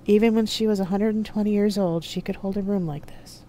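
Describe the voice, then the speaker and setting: A narrator voicing a calm, knowing artificial intelligence companion in a science fiction audiobook. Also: medium pitch, brisk, a little hiss or hum, voice pushed up, natural voice. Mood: explanatory, calm.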